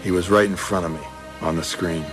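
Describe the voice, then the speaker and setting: An actor playing a serious, terse police detective in a crime drama, matter-of-fact in dialogue and voice-over. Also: male voice, deep voice, steady tone, a little fast, over music, natural voice. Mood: measured. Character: serious, terse male police detective, narrating his case.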